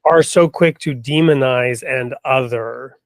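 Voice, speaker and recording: A man's voice: medium pitch, unhurried, no room sound. Easygoing male podcast host. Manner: thoughtful, fills with "um" and "right?". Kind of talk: conversational interview.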